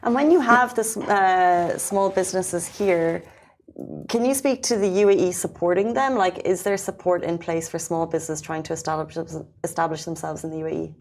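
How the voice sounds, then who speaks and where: A woman's voice: on the low side, moderate pace, darkish tone. A woman hosting a casual panel discussion, asking long, winding questions. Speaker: show host.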